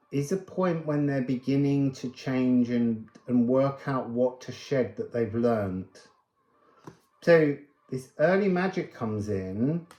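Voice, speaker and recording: A man's voice: medium pitch, darkish tone, reverberant, dull audio. A man giving an unscripted spiritual card reading, musing and meandering as he drifts between thoughts. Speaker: oracle card reader and host.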